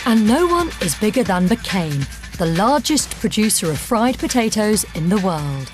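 A woman narrates a documentary in a scripted style. Her voice sits at a middle pitch, with music playing behind it. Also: big pitch swings, a little slow. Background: background music.

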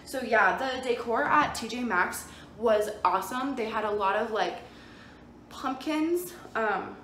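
A woman's voice: fairly high pitch, unhurried, fairly bright tone. Young woman chatting in an echoey room. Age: young adult. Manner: enthusiastic, gushing, informal. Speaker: vlogger. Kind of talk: casual chatty shopping haul to camera. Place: indoors in a plain-walled room. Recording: echoey room with faint background noise.

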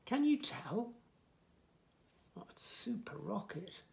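A man in his fifties reading a picture book aloud to young children, with expressive, sing-song delivery and soft asides. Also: high-pitched, slow, creaky. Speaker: children's story reader, host. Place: small furnished room indoors, bookshelves.

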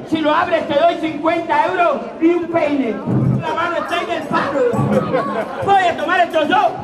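High-pitched Cuban showman, echoey and distant. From Cuba. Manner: playful showman, projecting to a crowd, self-deprecating jokes. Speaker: street magician. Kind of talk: comic street magic show patter. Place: outdoor town square in front of an audience. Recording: echoey outdoor crowd recording from far off.

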